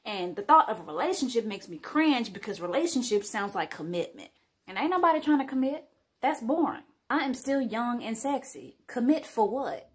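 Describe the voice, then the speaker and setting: A woman's voice: a little high, animated, moderate pace, slightly rough. A woman doing a carefree party girl. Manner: carefree, sassy. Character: party-loving, commitment-shy young woman.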